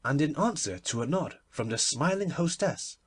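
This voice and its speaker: Expressive storybook narrator, animated delivery. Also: mid-range voice, moderate pace, breathy edge. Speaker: audiobook narrator.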